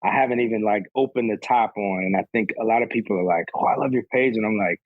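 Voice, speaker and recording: Casual podcast guest, man in his 30s, low husky voice, easygoing rambling delivery. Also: fast, dry recording, narrow, tinny audio. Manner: relaxed, rambling, says "man" often. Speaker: podcast guest, golf sneaker reviewer. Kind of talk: casual conversation.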